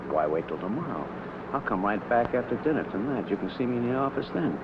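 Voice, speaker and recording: An actor playing a smug older garage boss, measured, insinuating and pressuring, in 1950s film noir style. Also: male voice, mid-range voice, sing-song, close to an everyday voice. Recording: muffled, very noisy old film soundtrack.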